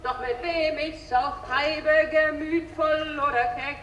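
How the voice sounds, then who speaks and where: A bawdy scrubwoman sings her ballad slowly in a radio play. Her high voice comes from far off in an echoey hall. The sound is dull and old. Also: steady tone, fairly bright tone, a little hiss or hum. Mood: singing. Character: bawdy woman scrubbing a floor, singing.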